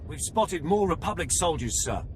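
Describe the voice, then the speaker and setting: A man playing a suspicious, dutiful imperial soldier in a video game, with a brisk military bark. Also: a little high, very animated, a little hiss or hum. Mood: alert, dutiful. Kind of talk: video game cutscene acting. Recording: music clearly behind the voice.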